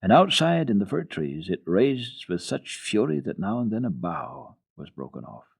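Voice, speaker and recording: A man reading a children's story for radio in an expressive, deliberate, theatrical way. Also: low voice, creaky, husky.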